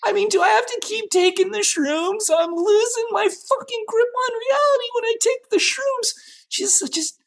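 A man playing an insecure, aging rock singer in an improvised scene, rambling and stammering in a husky voice. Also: medium pitch, sing-song, normal speed. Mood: panicked, ranting. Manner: rambling, stammering, repetitive, sweary. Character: needy, insecure aging male rock singer, past his prime. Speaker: actor in an improvised theater scene. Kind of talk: improvised monologue and dialogue acting.

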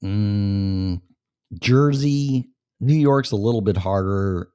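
A man's voice: lowish voice, slow, mellow, smooth, dry recording. A man with a Chicago accent hosting a casual chat show, rambling and blunt, with plenty of swearing. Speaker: show host. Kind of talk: casual livestream chat with viewers.

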